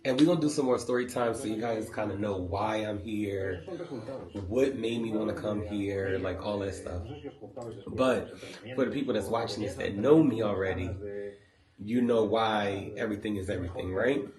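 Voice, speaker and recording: A man vlogging, telling a casual travel story in an animated, rambling way full of fillers. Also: on the low side, normal speed, crowd noise. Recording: echoey room with music behind.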